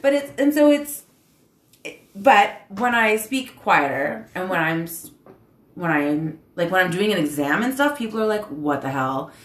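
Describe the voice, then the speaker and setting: A woman in casual chat who gets loud and animated when excited. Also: fairly low pitch, measured pace. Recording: echoey room.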